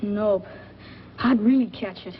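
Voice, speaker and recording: Mischievous, slangy small boy, high voice, muffled vintage movie sound. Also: slow pace. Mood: wistful, pally. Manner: slangy, boyish. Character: mischievous, restless small boy, sulky but pally. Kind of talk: 1940s film acting. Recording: dull, noisy old film soundtrack.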